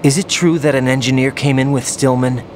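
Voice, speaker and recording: A young rookie soldier voiced by a man speaks at a middle pitch in an uneasy, questioning way. Clear background noise runs under the game audio. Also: fairly even intonation, a little fast, a little mellow, lightly acted. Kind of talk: video game cutscene dialogue.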